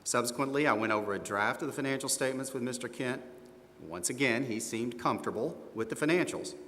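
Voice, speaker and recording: A man's voice: mid-range voice, fast talker, close to an everyday voice. A man playing an older small-firm accountant, confident and a little defensive, answering questions in a scripted role-play. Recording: echoey hall, steady background room noise.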